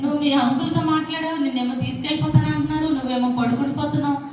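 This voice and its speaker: A woman's voice: high-pitched, fairly even intonation, racing, a little mellow, soft background music. A woman hosting a church puppet show, telling stories to children in a teacherly way with questions and repetition. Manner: repeats words, teacherly questioning. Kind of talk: animated storytelling for children. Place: echoey church hall, far from mic. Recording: distant, echoey, noisy hall recording.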